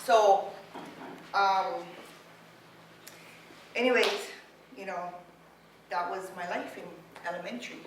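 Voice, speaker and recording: A middle-aged woman from Hawaii with a Hawaiian local accent, telling personal stories informally to an audience, unapologetic and conversational, often checking in with short tag questions. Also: mid-range voice. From Hawaii, United States. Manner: unapologetic, conversational, peppered with "right?" and "okay?". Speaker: speaker giving a talk. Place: university classroom. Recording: very echoey room, distant mic, clear noise.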